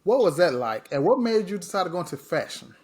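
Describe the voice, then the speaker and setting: A man from Mississippi, hosting a podcast interview in a lively, encouraging, conversational way. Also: high voice, fast talker. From Mississippi, USA. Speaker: podcast host.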